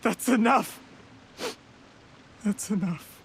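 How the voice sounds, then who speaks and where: An actor playing an ambitious, self-justifying young schemer in a video game, with a defensive manner. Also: male voice, high-pitched, slow pace, gravelly, fairly bright tone, slight background noise, heavily acted. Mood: agitated. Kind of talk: scripted game voice acting.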